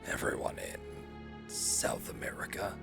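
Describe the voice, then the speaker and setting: A man voicing a gruff, foul-mouthed old soldier hero with a raspy, deadpan delivery in a parody game dub. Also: unhurried, over music, lightly acted. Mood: bewildered, grumbling. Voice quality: gravelly, raspy. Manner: deadpan, swearing.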